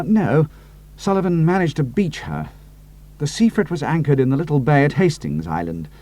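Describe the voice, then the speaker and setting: A man's voice: medium pitch, darkish tone. A man doing Algy, an earnest sidekick pilot. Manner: earnest and a little doubtful. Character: loyal British pilot sidekick, cautious and earnest.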